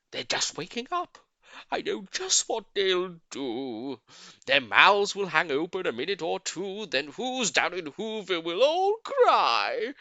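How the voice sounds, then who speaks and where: Casting: sly, mean storybook villain, played by a man, drawn-out gloating delivery, forceful tone, wildly swinging pitch. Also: no room sound, dull audio, exaggerated voice.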